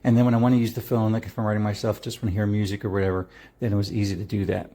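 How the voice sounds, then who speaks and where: Mellow-voiced middle-aged man, casual reviewer. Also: fairly low pitch, level tone, rapid-fire. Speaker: product reviewer.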